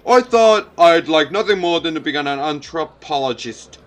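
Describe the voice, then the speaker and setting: A man's voice: fairly high pitch, animated, moderate pace, natural voice. Man doing a folksy mayor giving a lifted-voice speech. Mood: earnest, encouraging. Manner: oratorical, folksy, encouraging. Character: popular male mayor, jovial, earnest public speaker. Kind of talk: visual novel speech read-aloud.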